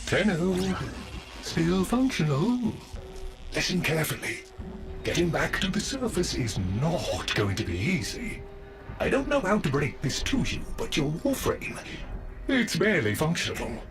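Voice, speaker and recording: A fretful, earnest robot butler from a video game. He speaks with formal politeness and precision in a slightly high, very expressive voice, over clear background music. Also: unhurried, heavily acted. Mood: urgent, concerned. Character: courteous, earnest, fretful male robot servant.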